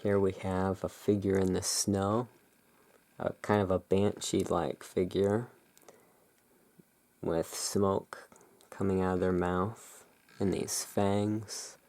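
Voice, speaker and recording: A person speaking in a halting, musing way, explaining things as the owner of a gothic curiosity shop in an ASMR roleplay. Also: low-pitched, level tone, darkish tone, no room sound. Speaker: roleplaying host. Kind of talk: ASMR roleplay, showing tarot cards.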